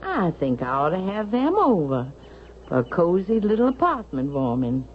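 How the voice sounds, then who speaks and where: A dull, hissy vintage radio recording carries a haughty elderly woman. Her voice sits slightly low and moves expressively as she speaks slowly and deliberately. She is sharp-tongued and suspicious, in 1970s radio drama style. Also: big pitch swings. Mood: commanding, icy. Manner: haughty, deliberate, pointed questioning.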